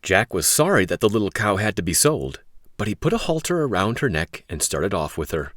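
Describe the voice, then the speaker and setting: A man hosting a read-aloud, telling a story to young children in an expressive, emphatic way. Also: on the low side, a little fast, no echo, clean studio sound.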